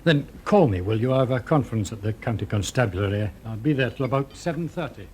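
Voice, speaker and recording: A man's voice: medium pitch, lively intonation, quick pace, darkish tone, lightly acted. A man acting a busy, dry-witted colleague of an investigator in a radio drama, assured and conversational. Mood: businesslike. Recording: noisy vintage radio drama recording.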